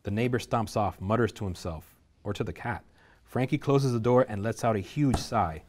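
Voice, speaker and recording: A man reading the stage directions aloud at a script table reading, steady and measured. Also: on the low side.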